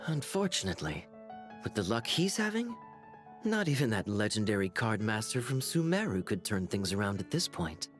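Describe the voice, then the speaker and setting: A man voicing a wry, charming adult knight who talks in a smooth, teasing way. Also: fairly low pitch, very animated, normal speed, faint music behind. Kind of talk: game voice acting.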